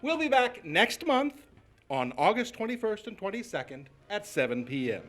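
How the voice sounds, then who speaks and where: Very animated, high-voiced male radio announcer. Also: moderate pace, soft background music. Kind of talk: old-time radio announcing.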